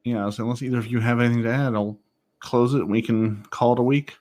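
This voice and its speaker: A man's voice: mid-range voice, steady tone, fast, darkish tone, no echo. A man hosting a gaming podcast in a casual, wry, joking style. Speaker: podcast host.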